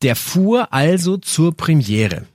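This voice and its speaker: Lockerer Mann mit bairischem Einschlag. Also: male voice, a little high, big pitch swings, takes it slowly, darkish tone, dry recording, studio quality. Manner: playful storyteller, jokey asides. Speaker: podcast co-host. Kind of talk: casual chat, telling a story.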